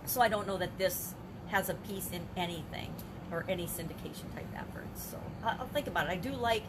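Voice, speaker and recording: A woman's voice: on the low side, fairly quick, noisy background. An older woman and business coach talking through her day in a casual video journal, reflective, asking herself rhetorical questions and restarting her sentences.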